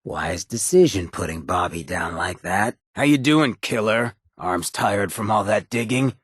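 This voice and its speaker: A roguish irradiated ghoul from a video game, with an extremely husky, gravel-rough voice on the low side. He drawls slangy, wry remarks with cocky swagger, his pitch sliding around expressively, recorded close and dry. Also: expressive, unhurried, no room sound. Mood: sly. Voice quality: very raspy, gravelly. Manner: sly, laid-back, slangy swagger. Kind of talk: video game companion voice lines.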